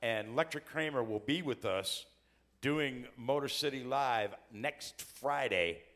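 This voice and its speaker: A man's voice: medium pitch, unhurried, a bit bright. Upbeat joking talk-show host man. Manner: upbeat, joking announcer patter. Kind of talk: live talk show hosting.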